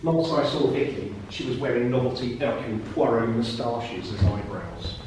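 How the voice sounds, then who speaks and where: Deadpan man introducing, echoey and distant. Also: mid-range voice, normal speed, background noise. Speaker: performance poet. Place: live arts venue, indoors. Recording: echoey room from far off.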